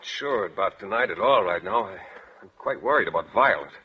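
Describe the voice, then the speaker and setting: An actor playing an earnest, oblivious biology teacher with a hesitant, excitable manner in a 1940s radio comedy. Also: male voice, on the low side, fairly quick, breathy edge. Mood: worried, hesitant. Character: earnest, shy, oblivious biology teacher fussing over lab animals. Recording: muffled vintage 1940s radio broadcast.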